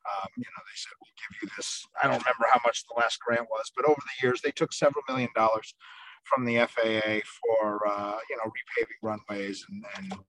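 A man, a reporter, explaining a story on a podcast over a video call from his parked car, in quick bursts with fillers. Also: medium pitch, steady tone, fairly bright tone, poor audio. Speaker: senior reporter. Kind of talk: casual podcast chat.

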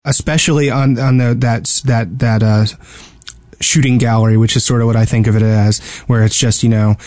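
A man's voice: medium pitch, steady tone, moderate pace, a little mellow, dry recording. A man co-hosting a casual gaming discussion, talking in a hesitant, rambling way and often repeating words. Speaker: podcast co-host. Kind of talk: casual video game discussion.

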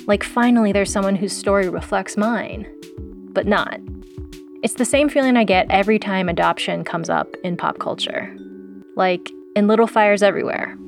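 A woman host narrates a scripted audio documentary with a dry, sardonic edge. She drops casual slang into polished delivery. Her pitch sits in an ordinary middle range. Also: moderate pace, creaky, faint music behind. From born in South Korea, raised in the US. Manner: wry, sardonic, pop-culture slang. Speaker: producer and host.

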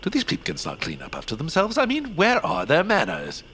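Pompous, wildly expressive villain baron. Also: male voice, on the high side, fairly quick, a little hiss or hum, heavily acted. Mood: fussy indignation. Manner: pompous, grandiose, self-dramatizing. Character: pompous scheming villain baron, theatrical and fussy. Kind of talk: audio fiction cartoon-like villain acting.

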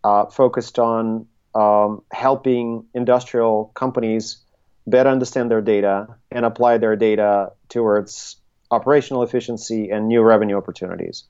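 A middle-aged man, speaking conversationally as an interview guest and haltingly thinking his way through his answers. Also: on the low side, steady tone, a little mellow, clear, resonant tone, lo-fi sound. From Russia, Soviet Union. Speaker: interview guest, tech consultancy CEO. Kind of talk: conversational interview, recounting career.

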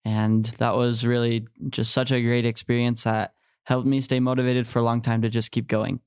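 A man in his early twenties speaks as an interview guest, recounting personal experiences. His voice is clear and smooth, with a narrow, even melody and a close, dry sound. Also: medium pitch, moderate pace, no echo. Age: early 20s. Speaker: podcast guest, returned missionary.

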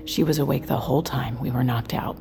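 An actress playing an earnest, conspiratorial researcher in an audio drama, insistent and persuasive. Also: female voice, very deep voice, fairly quick.

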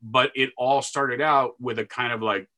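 A man with a deep voice talks through his years as a game announcer in a relaxed interview. His tone is bright and forceful, and he is quick to jump into a loud, hyped-up sportscaster call. He is animated and chatty, restarting his sentences often. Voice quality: deeper voice. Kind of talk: interview storytelling.

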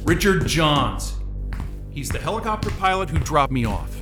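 A man's voice: on the high side, normal speed, music in the background. A man playing a frantic, hot-tempered, impatient stranded man in a naturalistic audio drama. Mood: agitated, indignant. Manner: excitable, exasperated, muttering and cursing.

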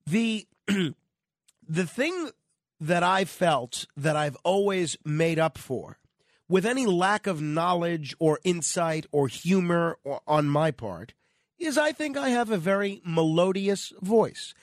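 A man's voice: sits high, expressive, slow pace, no echo, clean studio sound. A man hosting conversational talk radio, his voice nasal and stuffed up with a cold. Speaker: radio host.

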